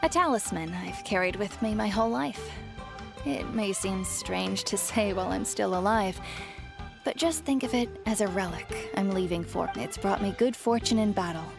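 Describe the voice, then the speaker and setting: A woman voicing an ailing veteran warrior at peace with death, speaking in a gentle, reflective, sighing way in a dramatic video game scene. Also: mid-range voice, creaky. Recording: music behind the voice. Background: game music.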